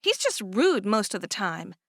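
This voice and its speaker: A woman's voice: mid-range voice, big pitch swings, unhurried, fairly bright tone, dry recording, studio quality, full character voice. A woman reading aloud and voicing an upset, stubborn teenage farm girl who talks in a sulky, indignant way.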